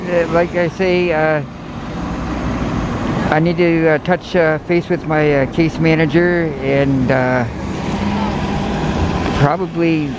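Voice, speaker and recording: A man talking outdoors on a city sidewalk, hesitant and halting, with many restarts. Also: fairly high pitch, steady tone, heavy background noise. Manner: hesitant, many restarts and fillers, soft-spoken. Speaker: interviewee. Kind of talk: halting casual talk.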